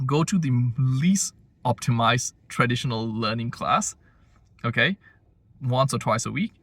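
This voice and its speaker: A man's voice: medium pitch, lively intonation, a little slow, fairly bright tone, no room sound. A man giving a blunt, rambling opinion monologue as a language-learning vlogger, full of fillers and restarts. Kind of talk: casual opinion monologue to camera. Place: home in Japan.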